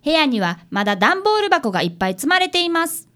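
A woman's voice: high-pitched, very animated, fast, fairly bright tone. A woman playing a polite, earnest fifth-grade boy who is an apprentice detective and narrator in a radio drama. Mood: bright narrating.

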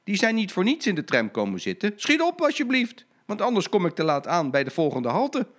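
A man voicing a hurried, impatient tram conductor in a storybook, speaking in a raised, pleading voice. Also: high voice, wildly swooping pitch, a little hiss or hum, pitched above the performer's own voice.

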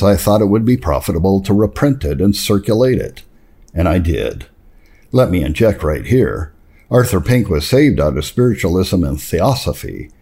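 A man reading a religious history memoir aloud, breaking off for spoken asides, in an earnest, emphatic storytelling way with dramatic pauses. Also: sits low, dark tone, slightly rough. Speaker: reader and narrator of religious history.

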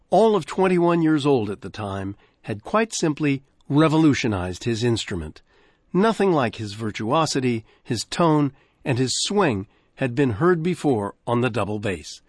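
A man's voice: medium pitch, no echo. Man hosting a radio show, reading the closing bits, voice goes up and down a lot, dry studio sound. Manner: measured, warm announcer delivery with lively phrasing. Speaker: radio program host. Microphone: studio microphone.

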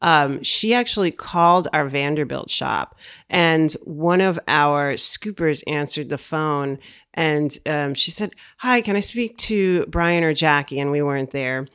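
Woman, American accent, deep for a female voice, casual storytelling, interviewee, acts out quoted exchanges. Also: unhurried, a bit bright, clear, resonant tone. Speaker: business owner being interviewed. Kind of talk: casual anecdote telling.

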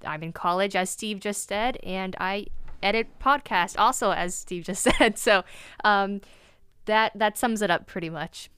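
A woman's voice: mid-range voice, a little slow, fairly bright tone, clear, resonant tone, no echo. An eighteen-year-old woman from North Carolina with an American accent, chatting and asking questions on a podcast as a college student. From North Carolina, United States. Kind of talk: casual podcast conversation.

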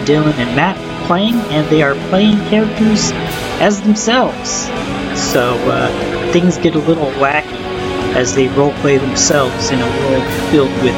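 A tired young man hosts a podcast, rambling through his announcements with plenty of ums and restarts. His voice sits a bit high for a man, and loud guitar music plays under him the whole time. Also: rough recording. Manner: rambling, hesitant with fillers. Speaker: podcast host and game master.

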